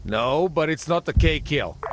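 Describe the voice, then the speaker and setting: High-voiced tank commander amid vehicle noise. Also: male voice, animated, a little slow. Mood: grim, subdued. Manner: clipped military radio procedure. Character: weary, gritty male army tank commander. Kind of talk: military radio dialogue in a game cutscene. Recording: loud vehicle and engine noise behind.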